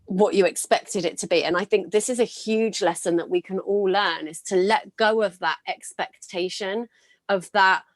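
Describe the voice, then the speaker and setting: A woman with a slightly gravelly voice hosts a chat and tells stories in an earnest, encouraging tone. Her pitch is medium, and the recording is close and dry with no room sound. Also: moderate pace, no room sound. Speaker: podcast host. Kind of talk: casual interview chat.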